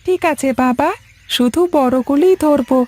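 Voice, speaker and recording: A woman's voice: high-pitched, normal speed, dark tone, lightly acted. A woman voicing an eager, obedient village child in a cartoon moral story.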